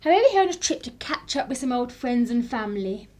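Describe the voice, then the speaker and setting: An actress performs a well-mannered English lady in a radio play. She is chatty and quietly probing, with an English accent. Her voice sits fairly high and her delivery is genteel. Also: sing-song, fast talker. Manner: genteel, polite. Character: polite, chatty, inquisitive English woman visitor with secrets. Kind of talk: radio drama acting.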